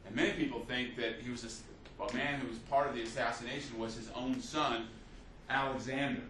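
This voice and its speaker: A man's voice: mid-range voice, fairly even intonation, a little fast, sharp tone, slight background noise. A man giving an unscripted history lecture as a teacher, telling it like a story, with many fillers and restarts. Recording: echoey room, mic at a distance.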